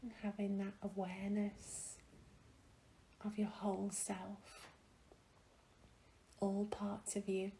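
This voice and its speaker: Slow, hushed woman guiding a meditation. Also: medium pitch, completely flat delivery, slight background noise, poor audio. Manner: gentle, hushed, breathy, long pauses. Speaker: meditation guide. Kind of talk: guided meditation, soothing and slow.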